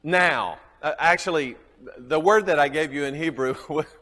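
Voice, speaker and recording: A man's voice: fairly high pitch, normal speed, fairly bright tone, big-room sound. A man with a Southern US accent teaching a Bible class, chuckling often and quizzing his students.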